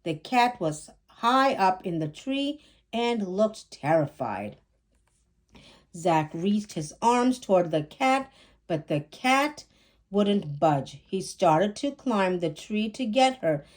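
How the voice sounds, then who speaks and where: Woman, mid-pitched, slow pace, children's storyteller, expressive scripted narration, measured delivery. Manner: measured, acts out dialogue. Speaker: storyteller reading a children's book aloud.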